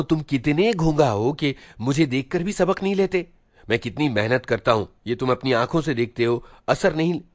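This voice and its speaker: A man's voice: mid-range voice, no room sound, pitched above the performer's own voice, lightly acted. A man voicing a stern, self-important teenage elder brother in a storybook reading, preaching and scolding with rhetorical questions.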